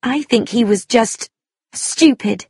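A woman's voice: a little high, slow, a little mellow, slightly rough, no echo, clean studio sound, pitched above the performer's own voice, full character voice. A woman voicing an angry, bitter young woman in an audiobook, emphatic and defiant. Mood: anguished, bitter. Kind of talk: audiobook character dialogue.

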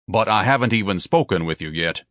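A man's voice: fairly low pitch, lively intonation, fairly quick, fairly bright tone, no echo, studio quality. A man voicing a huge, pompous, indignant man in an audiobook, with a stentorian, declamatory delivery. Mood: haughty.

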